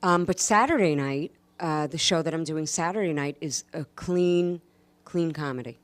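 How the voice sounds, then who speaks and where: Low-voiced New woman, emphatic. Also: moderate pace, dry recording. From New York, USA. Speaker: guest, actress and stand-up comedian. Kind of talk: casual interview chat.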